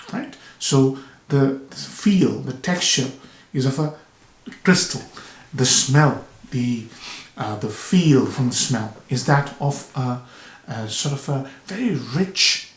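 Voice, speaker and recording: A man with a cold, hoarse and with a blocked nose, reviewing a fragrance to camera with enthusiasm, repeating words and pausing for breath. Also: mid-range voice. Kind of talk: casual product review to camera. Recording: echoey room.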